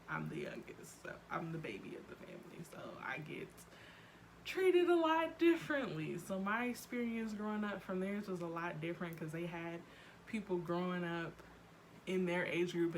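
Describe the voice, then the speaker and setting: A woman in her twenties from Ohio, chatting casually to camera with lots of ums and quick asides. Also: sits low, creaky, background noise. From Cleveland, Ohio. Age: 20s. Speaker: vlogger.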